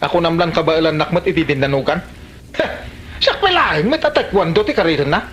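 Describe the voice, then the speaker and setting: An actor with an Ilocano Filipino accent playing a hot-headed husband itching for a fight in a comedy drama. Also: male voice, a little high, expressive, fairly quick, a bit bright, slight background noise. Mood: scornful, riled up.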